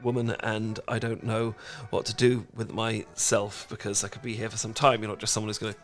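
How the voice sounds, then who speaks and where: A man's voice: lowish voice, little pitch movement, faint music behind, natural voice. Man acting a stammering, flustered British dork. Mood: flustered, bashful. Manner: stammering, flustered, halting, apologetic. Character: awkward, anxious, smitten British male dork. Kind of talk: ASMR romantic roleplay acting.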